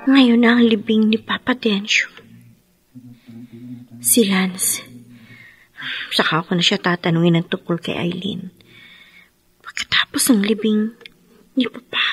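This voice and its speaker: A woman's voice: mid-range voice, steady tone, unhurried, long pauses, husky. A woman playing an anxious young wife and dutiful daughter in a horror radio drama, with emotional, tremulous delivery. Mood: troubled, subdued.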